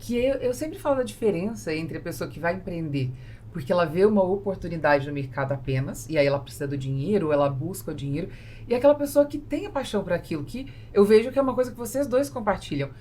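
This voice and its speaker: A woman's voice: fairly low pitch, animated, fast talker, dark tone. A Brazilian woman hosting a podcast, talking casually about business. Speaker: podcast host. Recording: clear background noise, room ambience.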